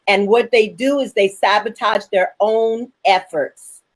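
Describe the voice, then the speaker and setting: A woman's voice: medium pitch, steady tone, a little slow. A woman talking in a direct, colloquial way in a panel chat. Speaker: stage play writer-director.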